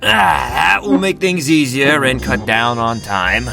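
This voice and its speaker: A man's voice: mid-range voice, sing-song, measured pace, vocal fry, over music. A man playing a stern, weary, formal police detective in a 1920s radio play. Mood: polite.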